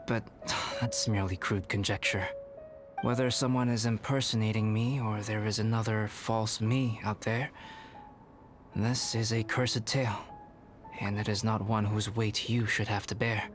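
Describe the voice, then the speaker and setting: A man voicing a calm, reserved young alchemist in a video game, speaking in a measured, formal, contemplative way. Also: on the low side, natural voice. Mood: grave, reserved. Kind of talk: scripted video game character acting. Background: music.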